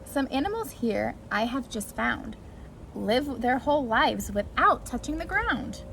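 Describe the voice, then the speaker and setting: A woman's voice: high voice, sing-song, a little slow, a bit bright. A woman reading a rhyming picture book aloud to preschoolers in a sing-song way, with brief asides. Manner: sing-song rhyming delivery addressed to young children. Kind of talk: read-aloud children's storytime with brief asides. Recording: clearly noisy amateur recording.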